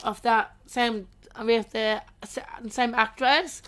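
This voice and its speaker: A woman with a fairly high, bright and very clear voice chats as a podcast co-host. She speaks casually in short, choppy phrases, often repeating herself or starting over. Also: steady tone, takes it slowly. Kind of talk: casual chat about video game movies.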